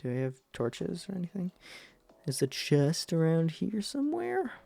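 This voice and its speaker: A young man with a deep voice chatting casually with his stream chat while he plays, rambling and self-deprecating, trailing off with fillers. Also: wildly swooping pitch, normal speed, vocal fry, warm tone. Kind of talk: casual gameplay commentary to chat.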